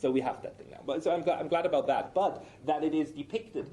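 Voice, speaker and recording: Mellow-voiced male lecturer, halting delivery. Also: on the high side. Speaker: academic lecturer. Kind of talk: public lecture, spontaneous explanatory speech.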